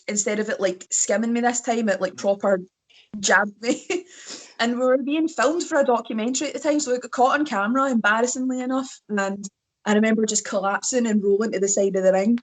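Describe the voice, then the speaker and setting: A Scottish woman in her thirties, a wrestler chatting as a podcast guest, telling rambling self-mocking stories. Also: mid-range voice. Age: 30s. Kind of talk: casual podcast chat.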